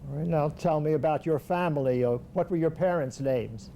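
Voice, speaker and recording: A man interviewing for an oral history project, asking short, measured questions. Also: fairly high pitch, vocal fry, warm tone, slight background noise. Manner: measured, brief prompting questions.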